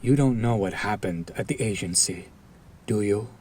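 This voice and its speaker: A man playing a bitter, protective fallen hero turned villain in a dramatic audio roleplay, speaking in a deliberate, sardonic, theatrical way. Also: on the low side, darkish tone, breathy edge. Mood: explaining, earnest. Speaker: voice actor. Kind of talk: dramatic audio roleplay monologue addressed to listener.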